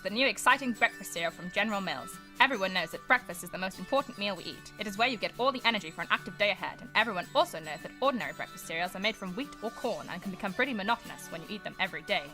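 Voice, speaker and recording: An old-time radio serial announcer played by a woman delivers scripted lines quickly and dramatically. The voice is medium in pitch and earnest, declaiming over music. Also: expressive, sharp tone. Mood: upbeat, selling. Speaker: announcer and narrator.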